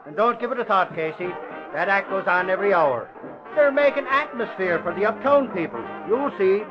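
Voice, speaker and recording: A high-voiced Irish sidekick in a vintage radio play. He is folksy and blustery, easygoing in his talk. The recording is dull and old. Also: animated, normal speed, music in the background. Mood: unbothered reassurance. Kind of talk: old-time radio drama acting.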